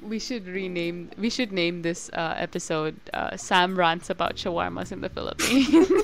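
A woman's voice: fairly low pitch, very animated, measured pace, a little hiss or hum. Giggly young woman ranting playfully. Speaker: podcast co-host. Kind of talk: casual chat.

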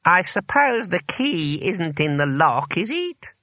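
A man's voice: high voice, sing-song, bright, forceful tone, dry recording, pitched above the performer's own voice, exaggerated voice. A man voicing a haughty, pompous old male wizard schoolmaster in an audiobook story. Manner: haughty, halting when embarrassed.

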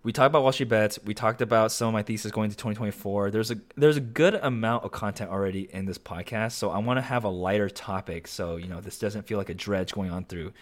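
Young man, lowish voice, slightly raspy, rambling podcast host, lots of ums. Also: quick pace, few pauses. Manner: rambling, lots of fillers and self-corrections. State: slightly raspy voice with occasional coughing. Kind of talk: casual solo podcast talk.

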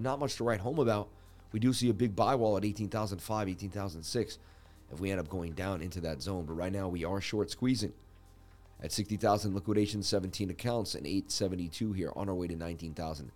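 Livestream market host, man, low and hoarse, chatty with viewers, soft music bed. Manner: animated, chatty, talks to live viewers. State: losing his voice, scratchy. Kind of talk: live chart analysis commentary.

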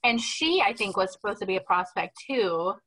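A woman on a podcast chatting casually, gushing and excitable. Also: medium pitch, moderate pace, poor audio. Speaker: podcast host. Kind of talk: casual chat.

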